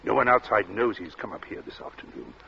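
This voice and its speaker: An actor playing a cold, urbane captain in an old radio thriller, speaking in a suave, measured way with polite menace and small hesitant asides. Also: male voice, on the low side, animated, creaky. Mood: coldly threatening. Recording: dull, noisy vintage radio recording.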